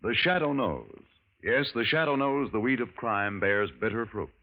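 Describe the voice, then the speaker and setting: A man's voice: mid-range voice, expressive, moderate pace, muffled recording. An American man announcing formally and dramatically in the scripted style of 1940s radio. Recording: vintage radio recording with music.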